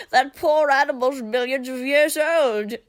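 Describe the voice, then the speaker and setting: A woman's voice: high voice, slow pace. A woman voicing a smug, pedantic museum director in a comic fan dub. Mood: smugly amused.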